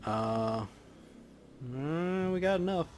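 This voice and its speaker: A man's voice: fairly high pitch, expressive, slow pace, a little mellow, slight background noise. A man hosting a let's play, giving casual, laid-back gaming commentary as he thinks aloud.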